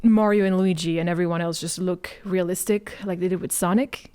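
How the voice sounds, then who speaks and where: A man's voice: sits high, fairly even intonation, a little fast, a little mellow, clean tone. A man co-hosting a casual discussion, reacting informally with hesitant phrasing and dry jokes. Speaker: co-host reacting to a list. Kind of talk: casual chat.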